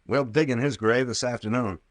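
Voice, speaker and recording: An actor playing a brash, sardonic captain and investigator with folksy slang in an old-time radio drama. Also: male voice, mid-range voice, fairly even intonation, a little fast, faint music behind, lightly acted. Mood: brusque, wry. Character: gruff wisecracking sea captain playing armed investigator.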